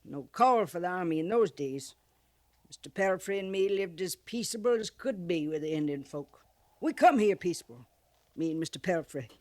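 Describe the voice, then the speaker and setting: A woman's voice: lowish voice, lively intonation, normal speed, a little mellow, dry recording, close to an everyday voice. A woman playing a sly, grasping old frontier trader widow with a folksy rural Western American drawl in a 1950s radio western. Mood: matter-of-fact, folksy. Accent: American rural Western dialect. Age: older. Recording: vintage 1950s radio recording.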